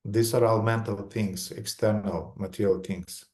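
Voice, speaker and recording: A man with a Romanian accent giving a religious lecture in short phrases, pausing for an interpreter. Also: lowish voice, fairly even intonation, darkish tone.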